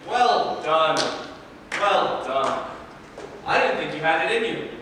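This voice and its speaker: A man's voice: a little high, lively intonation, slow pace, noisy background. A man playing a sly, two-faced fairy-tale servant in a theatrical staged reading, with an insinuating manner. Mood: smug, mocking. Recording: very echoey hall from far off.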